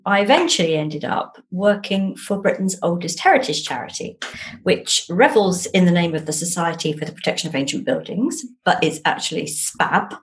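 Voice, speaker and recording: An English woman, an author being interviewed over a video call, telling anecdotes in a chatty, discursive and self-deprecating way. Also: fairly low pitch.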